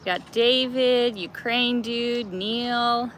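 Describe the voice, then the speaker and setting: A woman's voice: a little high, steady tone, very slow, fairly bright tone, slight background noise. A young woman hosting a travel live stream outdoors in a city park, chatty and laughing often as she greets viewers by name.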